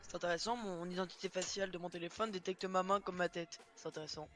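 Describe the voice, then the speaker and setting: A man's voice: high voice, fairly even intonation, fast, a bit bright. A man playing a game with a friend on stream, teasing and bantering. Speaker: streaming teammate. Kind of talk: casual gaming chat. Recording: music behind voice.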